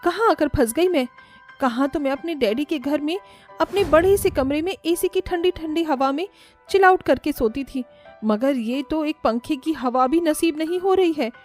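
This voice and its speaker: A woman's voice: high-pitched, darkish tone, natural voice. A woman voicing a spoiled rich young bride, dramatic and exasperated, who drops English exclamations into her complaints, in a storybook cartoon.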